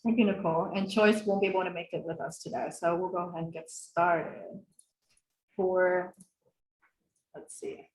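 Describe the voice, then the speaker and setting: A woman's voice: fairly low pitch, little pitch movement, normal speed, long pauses, echoey room, slight background noise, poor audio. Woman hosting an online workshop. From Philadelphia area, US. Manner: casual, friendly, with frequent fillers. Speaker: host. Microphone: video call.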